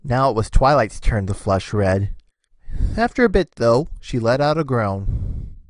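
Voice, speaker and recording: A man's voice: medium pitch, sing-song, measured pace, dry recording. A man narrating a scripted story expressively, as the narrator of a fan fiction reading.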